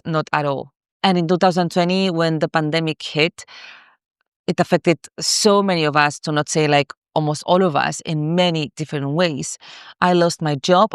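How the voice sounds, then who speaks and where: A woman in her thirties, from Morocco, shares her own story in a masterclass, talking candidly and looping back over her words with plenty of fillers. Her voice is smooth and resonant. The recording is dry and close, with no room sound. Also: sits low, dry recording. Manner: candid, repetitive, with many "like" fillers. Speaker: spiritual coach.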